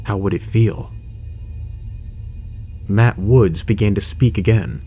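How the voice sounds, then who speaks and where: A man's voice: on the low side, slow pace, warm tone, breathy edge, faint music behind. A man narrating a scripted horror audio drama in a dramatic storytelling style.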